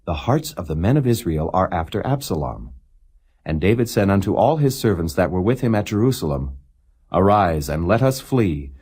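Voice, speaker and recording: A man reads scripture aloud as a narrator, in a measured and solemn manner without dramatizing. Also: sits low, a little mellow, husky. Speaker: Bible reader.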